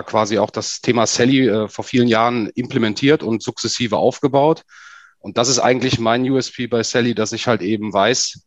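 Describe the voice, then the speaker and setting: A man with a low voice speaks hesitantly, with many fillers, as a podcast guest. His sound is dry and somewhat dull, as though recorded remotely. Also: dry recording. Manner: hesitant, filler-heavy speech. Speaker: key account manager guest. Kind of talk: podcast interview.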